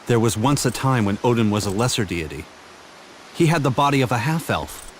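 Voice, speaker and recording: An actor playing a brash, determined young warrior in a fantasy video game, with a blunt, casual, emotive way of talking. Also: male voice, medium pitch, expressive, brisk, slight background noise, lightly acted. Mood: defiant, determined. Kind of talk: video game cutscene voice acting.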